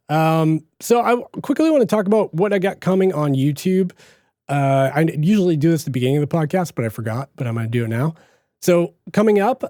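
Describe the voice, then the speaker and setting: Young male podcast host, slight Boston accent, casual rambling delivery, fairly high mellow voice, dry recording. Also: no room sound. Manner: chatty, rambling, self-correcting. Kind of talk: casual solo podcast monologue.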